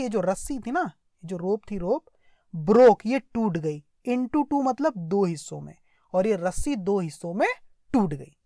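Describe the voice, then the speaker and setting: A woman's voice: medium pitch, darkish tone, no room sound, clean studio sound. A woman with an Indian accent teaching through a story, explaining it line by line and repeating herself with little check questions. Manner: explanatory, repetitive, with check questions and slowly read-out sentences. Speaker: English teacher. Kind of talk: line-by-line story lesson with translation and word explanations.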